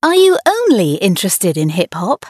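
A woman playing a cheerful host of a children's internet radio show, asking clearly enunciated, upbeat questions in a scripted textbook dialogue. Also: medium pitch, wildly swooping pitch, normal speed, a little mellow, no echo, clean studio sound.

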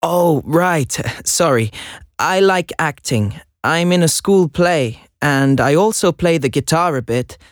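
A man's voice: a little high, animated, takes it slowly, no room sound. Actor as earnest teen boy, Swedish native, hesitant and chatty, dry close sound. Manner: hesitant, chatty, laughs nervously. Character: earnest 16-year-old boy job applicant. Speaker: job applicant. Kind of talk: scripted listening dialogue.

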